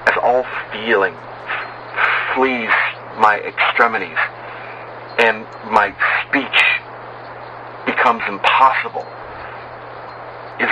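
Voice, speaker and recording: A man narrating a horror tale in the first person as a doomed, despairing sailor, grave and deliberate, with dramatic pauses. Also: fairly low pitch, muffled recording. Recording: clearly noisy bed of ambient sound.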